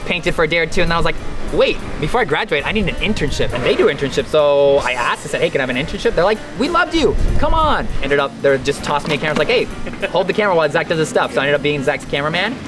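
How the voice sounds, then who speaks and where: A young man from the Dominican Republic chats earnestly as a guest, his voice sitting a little high and sounding upbeat. He tells his stories with easy enthusiasm, and clear music runs underneath. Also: very animated, very fast. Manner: earnest and upbeat, yelping at the cold. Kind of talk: casual interview chat.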